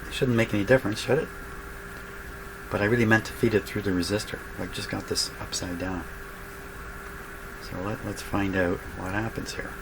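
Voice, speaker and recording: Loud background noise surrounds a man with a fairly low voice in a small workshop. He talks casually to himself as he works, musing and halting as he thinks aloud. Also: steady tone, vocal fry. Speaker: radio repair hobbyist, host of a workshop show. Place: small indoor workshop crowded with electronic test gear.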